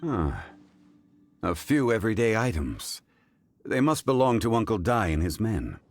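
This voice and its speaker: Composed gentleman sleuth for a game: low-pitched, formal, analytical, measured. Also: big pitch swings, dry recording, soft background music. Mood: calm, analytical. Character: composed, scholarly gentleman investigator with measured temper. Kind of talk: video game character dialogue.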